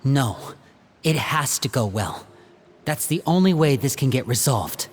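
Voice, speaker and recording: A playful young stage magician from a game, voiced by a man, leading his siblings with a smooth showman's charm. His pitch swings about lively as he talks, never flat. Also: measured pace, a little hiss or hum, lightly acted. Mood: planning. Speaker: older brother planning a rescue. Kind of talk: video game dub acting.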